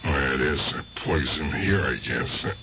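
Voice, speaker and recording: Gruff, halting old gangster, deep voice. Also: male voice, animated, bright, forceful tone, full character voice. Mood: halting, puzzled. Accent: American. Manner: rough colloquial speech, halting, muttering to himself. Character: aging guilt-tormented gangster, gruff but fond uncle. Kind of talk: radio drama acting. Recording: dull, noisy old radio drama recording.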